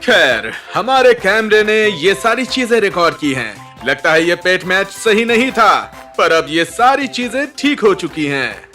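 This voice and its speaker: A polished, chirpy cartoon TV presenter. The voice is high and forceful, showy in delivery, with faint music behind. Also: male voice, big pitch swings, unhurried, hardly any pauses, exaggerated voice. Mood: upbeat. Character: polished, chirpy male TV pet show host. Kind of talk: animated cartoon dub.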